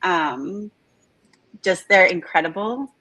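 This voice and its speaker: Woman, interview host, chatty, lots of fillers, a bit raspy, dry close sound. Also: fairly low pitch, lively intonation, slow, a bit bright, dry recording, rough recording. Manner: chatty, filler-heavy, says "like" often. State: slightly raspy voice from talking all morning. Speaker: host of live interview series. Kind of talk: casual live interview hosting. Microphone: video call.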